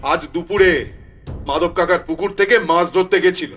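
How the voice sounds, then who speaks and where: A man's voice: sits high, steady tone, normal speed, fairly bright tone, soft background music. A man voicing a strict, angry village father in a children's cartoon, scolding with emphatic repeated commands.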